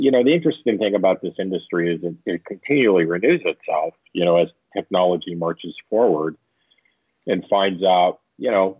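Deep husky guy in his 50s, ums and uhs everywhere, tinny phone line, dry sound. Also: no room sound. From Southern California. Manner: rambling, many fillers. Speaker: guest, tech company executive. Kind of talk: interview answers.

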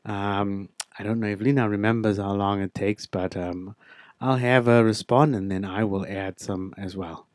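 Patient South African man with a low voice. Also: steady tone, no echo, clean studio sound. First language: Afrikaans. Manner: slow, careful, with frequent ums. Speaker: interviewed guest. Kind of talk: patient explanation to children.

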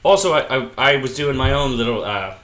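A man's voice: medium pitch, fairly quick, reverberant. A man co-hosting a podcast, chatting casually about a movie and telling jokes with lots of fillers. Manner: animated, loose joke-telling with fillers. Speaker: podcast co-host.

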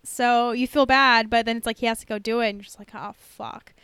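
A woman's voice: a little high, fairly even intonation, fairly quick, fairly bright tone, smooth, dry recording. A woman chatting casually as a podcast co-host, in an informal, hedging way peppered with "like". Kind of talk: casual podcast chat about TV shows.

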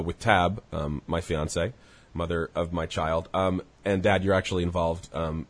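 A young man hosting a podcast, talking in a casual, earnest way with many hesitations, sounding congested. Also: deep voice. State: congested, under the weather. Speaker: podcast host.

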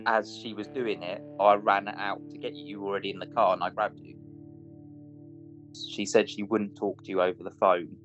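A man's voice: on the low side, little pitch movement, moderate pace, muffled recording. A man telling a personal story casually and a little hesitantly, as if to a friend. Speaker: interviewee, friend of the interviewer. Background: guitar music.

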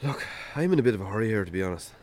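An actor playing a weary, defensive middle-aged Irish farmer who drinks too much, curt and evasive, in naturalistic radio drama. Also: male voice, on the low side, big pitch swings, racing, darkish tone, a little hiss or hum. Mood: evasive, awkward.

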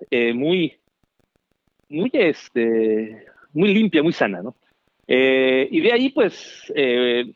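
A middle-aged Mexican man telling his story as an interview guest, animated and chatty with many fillers. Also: mid-range voice, no echo. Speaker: guest, founder. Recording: dry, dull remote call audio.

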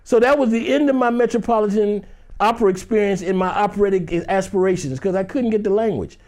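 A man with a high-pitched voice tells a story as an interview guest. He keeps it loose and chatty, with many "you know"s, and slips into the voices of the people in his tale. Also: fast talker, darkish tone. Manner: acts out other people's lines in the story. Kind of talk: animated anecdotal storytelling.

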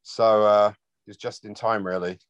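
A man's voice: lowish voice, level tone, a little slow, dry recording, highs cut off. A laid-back man from London chatting casually as an interview guest. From London, United Kingdom. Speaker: interview guest, rock bassist. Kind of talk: casual chat.

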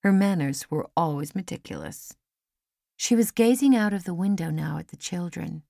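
A woman's voice: on the low side, animated, moderate pace, creaky, dark tone, dry recording, studio quality. A woman reading a first-person story as scripted audiobook narration. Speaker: audiobook reader. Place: dry studio.

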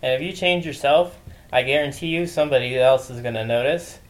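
A man's voice: mid-range voice, a little fast. A man of thirty talks in a loose, conversational way. He co-hosts a casual chat with a light joking streak. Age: 30s. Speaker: podcast co-host.

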